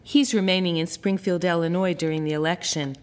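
Woman reading as a calm storybook father. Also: fairly low pitch, fairly quick, natural voice.

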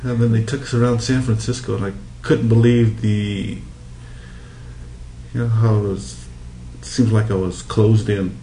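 A man's voice: fairly low pitch, little pitch movement, unhurried, mellow. A middle-aged man from Hawaii being interviewed, reminiscing in casual conversation. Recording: echoey room with background noise.

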